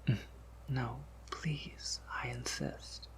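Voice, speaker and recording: A man voicing a courteous, softly amused vampire apothecary shopkeeper in a whispered ASMR roleplay, with formal, gentle phrasing. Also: medium pitch, steady tone. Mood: politely coaxing. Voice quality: breathy whisper with gravelly creak. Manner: polite, gentle, formal, unhurried.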